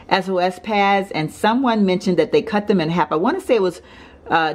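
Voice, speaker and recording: A middle-aged woman from Texas, hoarse from allergies, chatting casually through a home vlog in her kitchen, with many fillers and self-corrections. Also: lowish voice. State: raspy from allergies. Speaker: home organizing vlogger. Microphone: handheld camera mic, filming off screen.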